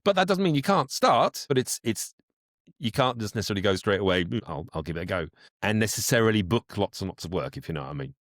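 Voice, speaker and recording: A man's voice: fairly low pitch, expressive, quick pace, dry recording, clean studio sound. An older man with a British accent chatting in an interview, explaining practical advice and often correcting himself as he goes. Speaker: voice actor, interview guest.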